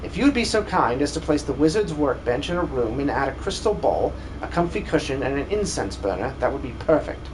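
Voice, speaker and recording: A man's voice: mid-range voice, big pitch swings, brisk, hardly any pauses, noisy background, pitched below the performer's own voice, natural voice. A man voicing a courteous, formal scholar keen on magical research in a video game fan dub. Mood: earnest, intrigued.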